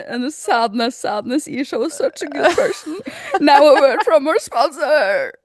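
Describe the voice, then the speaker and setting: A woman's voice: high voice, animated, a little slow, a little hiss or hum. A woman co-hosting a podcast in casual chat, informal and a little hesitant, often murmuring agreement. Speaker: podcast co-host.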